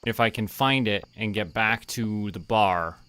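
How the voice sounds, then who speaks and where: A man giving relaxed game stream commentary, casually thinking aloud and talking to himself and the characters. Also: fairly low pitch, a little slow, clear, resonant tone. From Canada.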